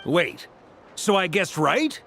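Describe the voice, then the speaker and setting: An actor playing an easygoing, confident grand master knight in a video game, with a breezy colloquial manner. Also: male voice, high-pitched, sing-song, slow, gravelly, exaggerated voice. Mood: teasing. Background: music.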